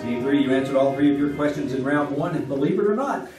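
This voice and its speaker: A man's voice: medium pitch, lively intonation, fast, a little mellow, faint music behind, natural voice. A man playing a polished 1950s radio announcer. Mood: formal. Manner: crisp, formal, announcing. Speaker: announcer in a stage play. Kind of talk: formal game show announcing. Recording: echoey theater, distant mic.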